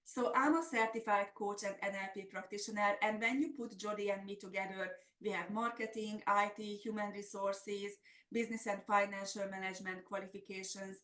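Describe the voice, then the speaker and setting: A woman's voice: medium pitch, steady tone, fairly quick, a bit bright. Hungarian-accented woman presenting in an echoey room. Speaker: webinar presenter and business coach. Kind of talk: semi-scripted webinar presentation. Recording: roomy, echoey sound.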